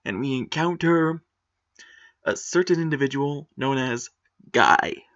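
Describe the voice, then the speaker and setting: A man's voice: mid-range voice, measured pace, fairly bright tone, muffled recording. A man giving opinionated commentary on a written post, critical and matter-of-fact with sarcastic asides.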